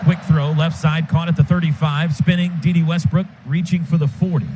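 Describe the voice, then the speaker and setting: High-voiced man calling football on radio. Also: fairly even intonation. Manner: rapid clipped calls that rise to shouts on big plays. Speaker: radio play-by-play announcer. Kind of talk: live radio football play-by-play. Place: stadium radio booth. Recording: radio broadcast with loud crowd noise.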